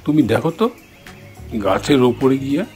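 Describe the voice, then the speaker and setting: Man as a sensible, mid-pitched cartoon animal leader. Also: normal speed, darkish tone, soft background music, lightly acted. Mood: questioning. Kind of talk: children's fairy-tale cartoon acting.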